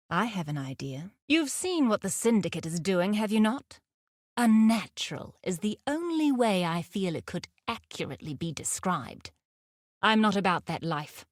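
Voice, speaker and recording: A woman playing a fierce, guarded huntress in a video game, speaking in a deliberate, terse and solemn way. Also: medium pitch, no echo, studio quality. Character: fierce, guarded huntress outlaw, wry nature lover. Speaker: video game character voice actor. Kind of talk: scripted game character lines.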